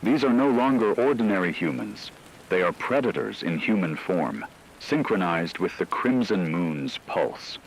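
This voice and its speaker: Deep, grave man playing a radio news anchor. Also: expressive, a little slow, gravelly, slight background noise, exaggerated voice, low-quality recording. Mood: grave, urgent. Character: grave male radio news anchor reporting a werewolf apocalypse. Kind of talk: scripted emergency news broadcast.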